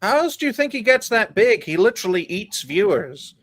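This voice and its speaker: Man, podcast host, irreverent jokes, steers the chat, slightly high voice. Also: sing-song, a little fast, fairly bright tone. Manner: irreverent, joking, leads the talk. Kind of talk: casual panel chat.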